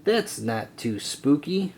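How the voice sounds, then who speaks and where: A storyteller voicing an excited young boy cat in a children's storybook, expressive and exclaiming. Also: mid-range voice, slow, gravelly, a little hiss or hum, full character voice. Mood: relieved, slightly nervous.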